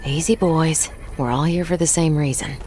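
A bold Western heroine from a video game, voiced by an actress. Her low voice is firm and level-headed. There is slight background noise. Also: steady tone, moderate pace, a little mellow, close to an everyday voice. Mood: confident.

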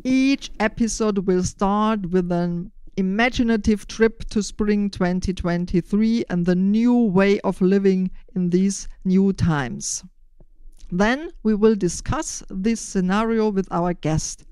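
An older woman explaining a podcast in measured, deliberate, prepared phrases. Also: mid-range voice, mellow, clear, resonant tone, no echo. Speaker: podcast host.

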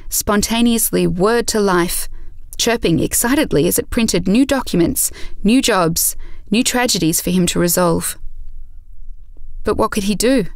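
A woman narrating an audio drama from a script in a measured storytelling manner. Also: mid-range voice, vocal fry, dry recording.

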